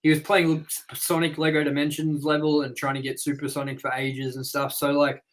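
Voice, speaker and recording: A man's voice: fairly high pitch, monotone, brisk. A man in his thirties co-hosting a movie review show, chatting casually and telling rambling stories with casual swearing.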